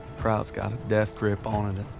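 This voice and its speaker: A man's voice: on the low side, monotone, a little fast, creaky, music in the background. A man narrating as a weary, plain-spoken soldier in a war game.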